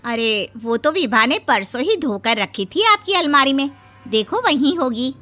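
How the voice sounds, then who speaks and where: A woman's voice: high-pitched, very animated, measured pace, sharp tone, exaggerated voice. A woman playing a brash, talkative middle-aged Indian village mother in a cartoon story, nagging and boastful. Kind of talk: Hindi animated comedy story acting.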